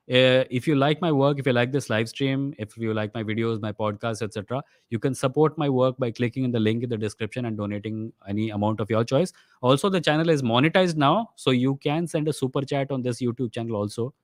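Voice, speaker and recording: A young man from India with an Indian accent and Odia as his first language, hosting a livestream Q&A. He answers viewer questions in a quick, conversational way and often switches into Hindi mid-sentence. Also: medium pitch, no echo, clean studio sound. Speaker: host of a live stream.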